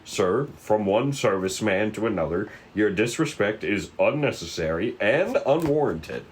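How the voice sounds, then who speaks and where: A man's voice: fairly low pitch, expressive, lightly acted. A man playing a polite, composed FBI agent who speaks formally, in a script table read. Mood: calm, stern. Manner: courteous, measured, formal.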